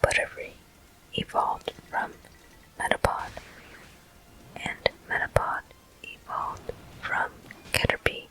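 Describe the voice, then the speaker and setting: A person whispering ASMR game commentary in a deliberate manner, pausing between short phrases. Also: pushed and bright, rough recording. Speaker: gameplay host.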